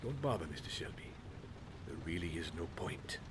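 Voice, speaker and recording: A man's voice: deep voice, expressive, moderate pace. An actor playing a dignified, stubborn, grieving male shopkeeper in a video game, speaking in formal, courteous, stilted phrases. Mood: bitter, curt. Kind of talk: dramatic video game acting. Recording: clear background noise and ambience.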